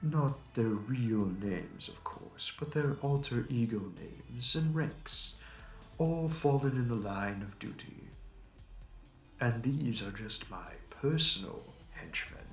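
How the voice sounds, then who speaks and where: A man's voice: mid-range voice, expressive. A man playing a suave, smug supervillain in an audio roleplay, with a mocking, flirty, theatrical delivery. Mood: mocking, amused. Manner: theatrical, taunting, drawn-out delivery. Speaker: voice actor. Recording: roomy echo, distant mic, music behind.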